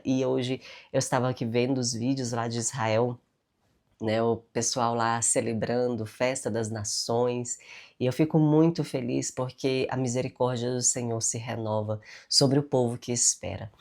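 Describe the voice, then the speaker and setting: A woman's voice: extremely low pitch, gravelly, a little mellow. A Brazilian woman speaking with a nasal, stuffy voice from a cold, giving a chatty devotional talk with spoken prayer and tag questions. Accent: Brazilian Portuguese.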